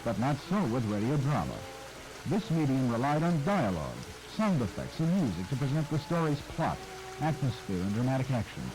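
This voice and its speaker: A man's voice: mid-range voice, very animated, normal speed. A man hosting with scripted narration addressed to the listener, dramatic and emphatic in phrasing, recorded with rain noise over it. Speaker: host of radio nostalgia documentary. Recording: noisy archive audio under rain.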